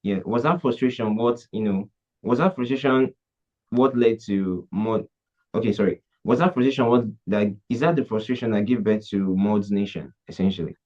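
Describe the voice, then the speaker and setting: A man's voice: lowish voice, normal speed, darkish tone. A man hosting a podcast interview, hesitant and often restarting his questions. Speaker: podcast host. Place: Africa. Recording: dull lo-fi sound.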